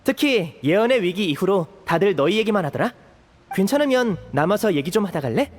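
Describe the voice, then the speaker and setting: A man's voice: high voice, big pitch swings, fairly quick. A man playing a polite, friendly, boyish young magician in a video game, with a youthful, welcoming delivery. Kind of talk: Korean video game voice acting.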